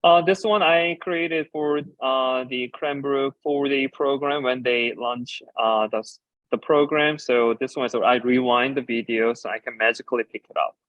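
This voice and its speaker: A man whose first language is Korean gives a conference talk as a designer and ceramicist, explaining his slides with frequent filler pauses. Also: mid-range voice, fairly even intonation, no echo, lo-fi sound. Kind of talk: conference presentation about slides.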